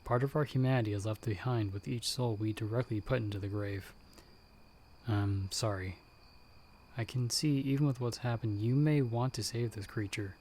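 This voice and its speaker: Guy doing a battle-hardened werewolf hunter for asmr rp, deepish voice, explains stuff evenly, bit of night insect noise behind him. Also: a little mellow, lightly acted. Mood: somber, sympathetic. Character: battle-hardened male werewolf hunter with healing magic, calm mentor. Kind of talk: ASMR audio roleplay acting, speaking to the listener.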